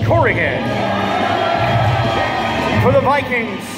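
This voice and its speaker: A man in his thirties working as a public address announcer at a high school game, theatrical and booming, speaking into a handheld microphone in a large gymnasium. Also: very animated. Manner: booming, theatrical, drawn-out names. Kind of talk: high school sports pregame introductions. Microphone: handheld PA microphone, picked up by a camera in front. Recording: echoey gym PA, distant, loud crowd and music.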